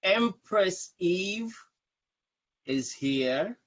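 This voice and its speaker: A man's voice: high voice, lively intonation, drawn-out words. A man hosting a casual live-stream interview, repeating words and phrases for emphasis. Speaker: show host, interviewer. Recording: dull, lo-fi livestream audio.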